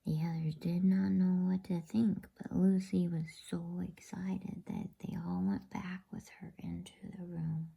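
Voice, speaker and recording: Very breathy, slow whispering woman. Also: on the low side, flat delivery. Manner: gentle, unhurried, informal. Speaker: ASMR host and storybook reader. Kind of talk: whispered ASMR bedtime reading.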